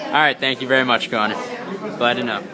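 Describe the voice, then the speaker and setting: A man's voice: medium pitch, fairly even intonation, quick pace. Young man interviewing with crowd noise behind. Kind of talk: casual interview questions. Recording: clear crowd noise in large public space.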